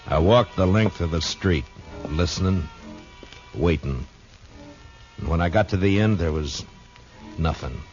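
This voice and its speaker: Tough frontier lawman, really low voice, blunt and steady, muffled old radio drama. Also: measured pace, pauses often, soft background music. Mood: grim, reflective. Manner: blunt, terse, level-headed. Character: stern, plain-spoken Old West marshal, male, steady and resolute. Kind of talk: 1950s radio drama acting and first-person narration. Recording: muffled vintage radio recording.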